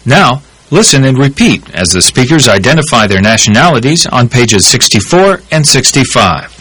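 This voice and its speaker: Husky male course narrator. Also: medium pitch, very animated, fairly bright tone, no room sound, rough recording. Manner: measured, clear instructions. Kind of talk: scripted instructional narration.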